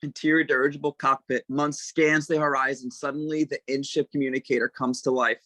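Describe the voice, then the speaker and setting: A man's voice: medium pitch, little pitch movement, a little fast, sharp tone, dry recording. A man reading stage directions as scripted narration at an informal table read.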